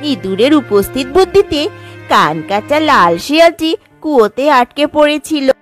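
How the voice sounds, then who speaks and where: A woman's voice: sits high, sing-song, measured pace, a bit bright, soft background music. A woman narrating a scripted children's story as storyteller.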